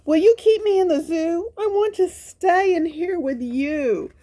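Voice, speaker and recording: Woman voicing an eager, pleading storybook leopard, high-pitched. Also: a little slow, mellow. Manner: pleading, emphatic, repetitive. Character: eager, pleading young male spotted leopard wanting acceptance. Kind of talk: children's storybook character reading.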